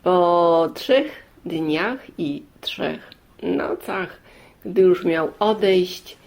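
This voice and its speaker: A woman tells a fairy tale at a very unhurried pace. Her voice sits a little low with a gravelly creak, and she moves in short phrases, pausing and repeating. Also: expressive, a little mellow. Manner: deliberate short phrases with many pauses and repeats. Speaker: storyteller. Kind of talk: improvised fairy-tale storytelling.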